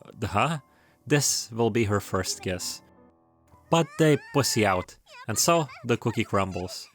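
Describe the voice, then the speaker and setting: A man's voice: lowish voice, lively intonation, takes it slowly, faint music behind. A man narrating in a casual, scripted video style, with wry, irreverent, slangy joking asides. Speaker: video essayist.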